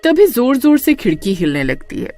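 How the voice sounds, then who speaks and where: A woman's voice: fairly high pitch, very animated, normal speed, a little mellow, faint music behind. A woman narrating a scripted horror story.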